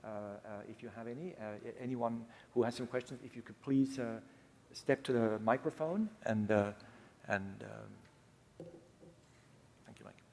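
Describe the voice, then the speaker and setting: A man chairing an academic session, giving halting impromptu remarks with many stammers and repeated words. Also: mid-range voice, creaky, a little mellow, slight background noise. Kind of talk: impromptu remarks after a lecture. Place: large hall, public event. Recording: reverberant lecture hall.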